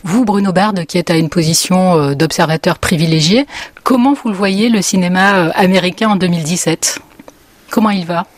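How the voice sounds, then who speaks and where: Lady interviewer, kinda low voice, calm prepared questions, dry mic. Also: moderate pace, dry recording. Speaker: radio interviewer. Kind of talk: radio interview.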